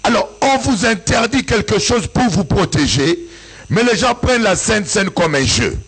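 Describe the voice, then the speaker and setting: A man from Africa preaches to his congregation with a husky, slightly rough voice. His delivery swings widely in pitch, climbing into sing-song peaks and occasional shouts before dropping low again. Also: very animated, normal speed. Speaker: bishop and pastor. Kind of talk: sermon. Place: church service with an audience.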